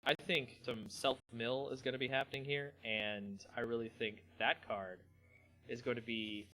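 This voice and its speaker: A man hosting a live stream in a casual, slangy, rambling way, with halting pauses. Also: mid-range voice, flat delivery, bright, forceful tone, poor audio. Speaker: host of a card game channel. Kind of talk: casual live stream chat, then dramatic story reading.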